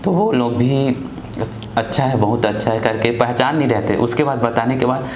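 A man's voice: mid-range voice, normal speed, darkish tone. Young Indian man in an echoey room. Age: 20s. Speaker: patient giving a testimonial. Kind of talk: interview answers. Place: small clinic office, indoors. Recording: echoey room, faint background noise.

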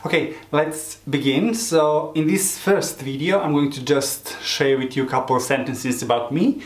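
A man of about forty with a Serbian accent, chatting casually to camera in halting non-native English. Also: on the high side, faint music behind. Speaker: beauty vlogger, hairdresser and drag queen. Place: small room indoors. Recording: roomy, echoing home recording.

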